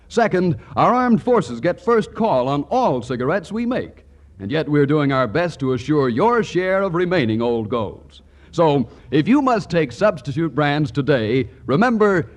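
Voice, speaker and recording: A man announcing on 1940s radio as a folksy, emphatic salesman. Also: on the high side. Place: radio studio. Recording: vintage 1940s radio broadcast.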